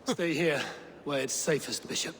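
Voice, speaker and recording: Casting: male actor for level-headed clan leader, game cutscene; measured, firm, slightly raised pitch, faint noise. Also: a bit bright, lightly acted. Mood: resolute. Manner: measured, resolute. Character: steady, pragmatic Viking clan leader. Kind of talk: dramatic video game acting. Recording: reverberant hall, faint noise.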